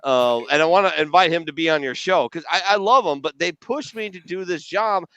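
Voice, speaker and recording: Smooth-voiced man in a basement. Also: a little high, a little fast, a bit bright, dry recording. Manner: blunt, profane storytelling. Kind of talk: casual chat. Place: home basement.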